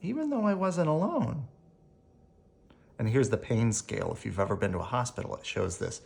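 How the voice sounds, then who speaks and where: A storyteller reading a children's picture book aloud in a gentle bedtime manner, adding spoken asides along the way. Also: mid-range voice, sing-song, moderate pace, vocal fry. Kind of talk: expressive read-aloud with ad-libbed asides.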